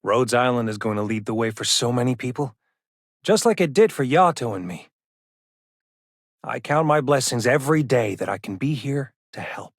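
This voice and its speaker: A man's voice: medium pitch, animated, normal speed, dry recording, studio quality. An actor voicing an easygoing, loyal, grateful young man in a video game, casual and sincere. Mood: grateful, upbeat. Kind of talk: scripted game character lines.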